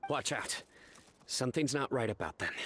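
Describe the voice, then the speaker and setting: An actor playing a stern, vigilant young desert warrior in a video game, speaking in a grave, measured, terse way. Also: male voice, mid-range voice, expressive, fairly bright tone, a little hiss or hum, lightly acted. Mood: alert, wary. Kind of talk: video game character acting.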